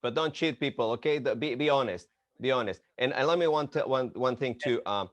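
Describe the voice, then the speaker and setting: A man's voice: medium pitch, no echo. A man from Mexico City with a Mexican accent and Spanish as his first language, interviewing casually and searching for his words. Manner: halting, searching for words in English. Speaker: interviewer, boxing gym representative.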